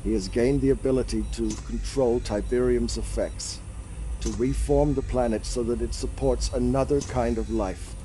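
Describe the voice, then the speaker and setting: An older actor playing a weary, remorseful mutant seer in a science fiction game cutscene. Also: male voice, mid-range voice, fairly even intonation, moderate pace, warm tone, slight background noise, natural voice. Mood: solemn warning.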